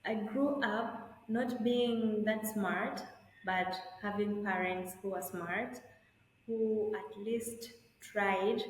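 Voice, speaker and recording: A woman's voice: mid-range voice, darkish tone, a little hiss or hum. Young Tanzanian woman on an echoey call. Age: young adult. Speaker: attorney, interview guest. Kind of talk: conversational interview answers.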